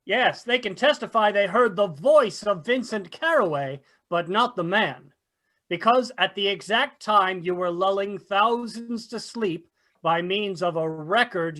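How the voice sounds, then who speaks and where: Woman playing a sharp police inspector, old radio whodunit, medium pitch, formal and probing. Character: shrewd, accusing police inspector, formal and dry. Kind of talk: golden-age radio mystery acting.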